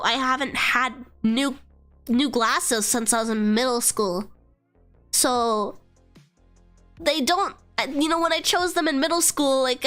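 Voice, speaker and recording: A woman's voice: high voice, fairly bright tone. A young woman streamer with a nasal voice and a stuffy cold, rambling casually, swearing freely and trailing into fillers. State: congested from a cold.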